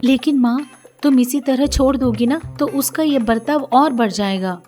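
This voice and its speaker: A woman's voice: sits high, normal speed, darkish tone, faint music behind, close to an everyday voice. A woman voicing a confident, questioning young sister-in-law in a family story. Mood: concerned. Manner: questioning, assertive. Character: young student daughter, confident, concerned, determined sister-in-law.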